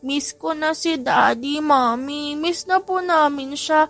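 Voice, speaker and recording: Woman voicing a high, pleading little boy. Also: slow, a bit bright, soft background music, full character voice. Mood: sad, pleading. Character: tearful, insistent young boy missing his daddy.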